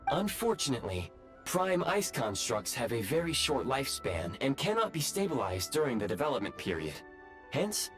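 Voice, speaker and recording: A solemn artificial guide from a video game. His mid-pitched voice moves expressively through formal, measured system announcements, courteous and composed. Game music plays under him. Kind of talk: scripted video game voice acting.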